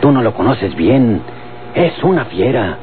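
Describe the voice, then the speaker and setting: A man's voice: mid-range voice, sing-song, unhurried. An actor playing a stubborn, blunt country henchman with a rural Mexican accent in an old radio drama. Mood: insistent, bitter. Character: blunt, stubborn, accusing rustic cowboy henchman, male. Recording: narrow, tinny old radio recording, music behind.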